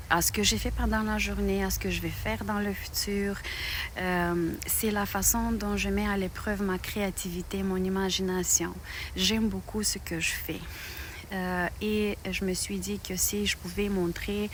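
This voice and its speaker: A middle-aged woman from Moldova, speaking French with a Romanian accent, talks to her channel's viewers in a hesitant way, with many pauses and restarts. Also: mid-range voice, slight background noise. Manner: halting, many fillers and restarts. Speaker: knitting channel host. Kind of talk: personal thank-you video.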